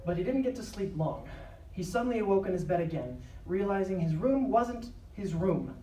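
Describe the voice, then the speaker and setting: A woman's voice: medium pitch, animated, normal speed. A woman narrating a scripted drama live. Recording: echoey live stage, distant, faint noise.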